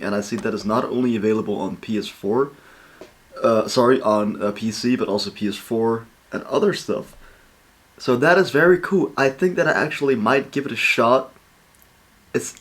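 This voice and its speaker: A man hosting a reaction video, talking casually with informal slang and swearing. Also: mid-range voice.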